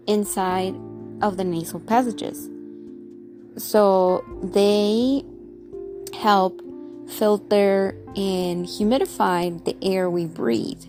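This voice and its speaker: Woman, young adult, casual vlog talk, nasal and congested, rambling with fillers, faint background music. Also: medium pitch. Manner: chatty, rambling with fillers and restarts. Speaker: vlogger.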